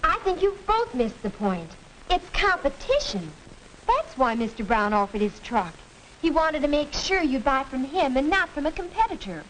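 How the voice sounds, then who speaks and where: A woman's voice: high voice, big pitch swings, moderate pace, fairly bright tone. An American woman playing an eager, pert schoolgirl debater, speaking emphatically in a 1940s educational film. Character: eager schoolgirl correcting her fellow debaters. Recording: noisy old film soundtrack.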